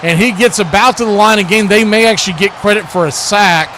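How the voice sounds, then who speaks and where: Man, American, high-pitched sports play-by-play caller, live stream host, noticeable background noise. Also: brisk, bright, forceful tone.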